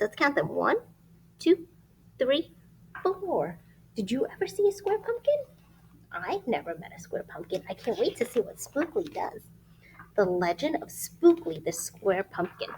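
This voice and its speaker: Animated, high-pitched woman reading to young children. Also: husky, poor audio. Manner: expressive, emphatic, pauses to ask the children questions. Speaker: storyteller reading a picture book to young children. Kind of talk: animated children's read-aloud.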